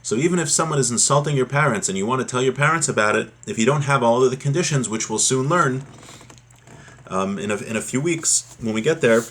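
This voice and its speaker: Husky-voiced man teaching a religious lesson. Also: medium pitch, a little fast, a bit bright. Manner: conversational, explanatory, checks in with "right?". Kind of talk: informal religious lesson, reading a text aloud then explaining it.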